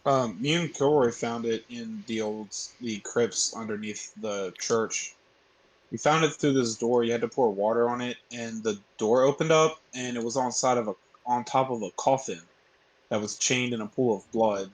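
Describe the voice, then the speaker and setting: A man playing a tabletop game, chatting casually, halting and correcting himself, sometimes swearing. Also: medium pitch, dry recording, rough recording. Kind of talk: casual in-character game chat.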